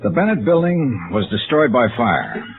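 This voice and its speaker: An actor playing a brisk, hard-boiled insurance investigator in 1950s radio drama, clipped and matter-of-fact. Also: male voice, medium pitch, very animated, gravelly. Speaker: narrator and lead actor. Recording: tinny, band-limited old radio recording with music.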